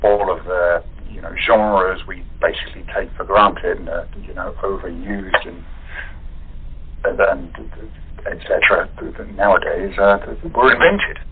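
Husky, wry man over a phone line. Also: low voice, steady tone, measured pace. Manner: wry, wordy, hesitant delivery. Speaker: actor guest. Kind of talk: casual chat. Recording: muffled, narrow phone-like line.

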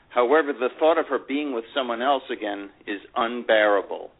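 Man acting older divorced guy telling his love story, wistful n proud, kinda halting, muffled radio-ish sound. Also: fairly low pitch. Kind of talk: dramatic memoir reading, first-person narration.

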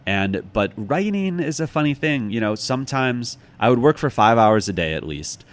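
A man's voice: mid-range voice, very animated, moderate pace. A middle-aged American man talking casually as an interviewed author, reflective and thinking aloud. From United States, Chicago. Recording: clearly noisy background.

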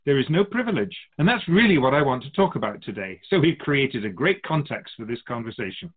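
A man's voice: mid-range voice, big pitch swings, brisk, phone-quality sound. A man playing an otherworldly keeper of souls in a radio drama, a wise, teasing mentor who muses theatrically in rhetorical questions. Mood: knowing. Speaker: spirit guide in a radio drama.

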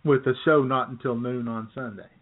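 A man's voice: medium pitch, fairly even intonation, brisk. American man co-hosting, thin tinny audio. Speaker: radio show co-host. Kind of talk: casual comedic banter. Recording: tinny band-limited remote audio.

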